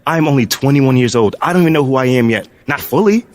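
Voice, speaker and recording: Actor as young athlete, animated, close dry sound. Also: male voice, mid-range voice, quick pace, no echo, lightly acted. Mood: doubtful, frustrated. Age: 20s. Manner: casual, slangy, hesitant. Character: young male pro basketball player, earnest, proud, unsure. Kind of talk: naturalistic video game cutscene dialogue.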